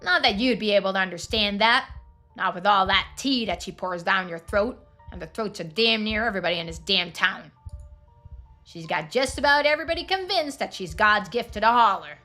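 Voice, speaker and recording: A woman's voice: mid-range voice, expressive, fairly quick, pushed and bright, faint music behind. A woman voicing a hot-tempered, threatening rural parent in a video game, with a folksy, foul-mouthed drawl. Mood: irritated. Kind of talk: video game character dialogue.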